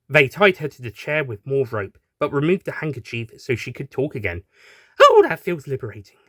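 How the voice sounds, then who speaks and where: A man's voice: mid-range voice, no echo, studio quality. A man narrating a scripted audio adventure story as its reader.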